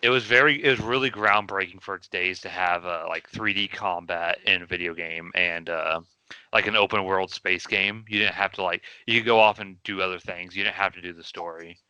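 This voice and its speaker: A man's voice: sits low, fairly quick, pushed and bright, clear, resonant tone, no room sound, rough recording. A man co-hosting a casual podcast about video game movies, rambling with many fillers and restarts. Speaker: podcast co-host. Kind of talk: casual chat.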